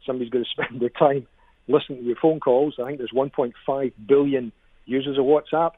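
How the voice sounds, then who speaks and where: A man's voice: mid-range voice, fairly even intonation, a little fast, no echo. A man with a Scottish accent, a cybersecurity expert explaining things emphatically as a phone-in guest. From Scotland. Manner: emphatic, repeats key phrases. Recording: tinny phone line.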